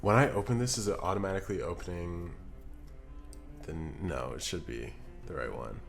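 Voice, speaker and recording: A man's voice: low-pitched, moderate pace, vocal fry, faint music behind. A young man from Scotland chatting casually on a live stream, muttering to himself as he works at his computer. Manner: relaxed, muttering to himself while working, reading chat aloud. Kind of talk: casual live-stream chat while editing. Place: home streaming room. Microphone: desk microphone.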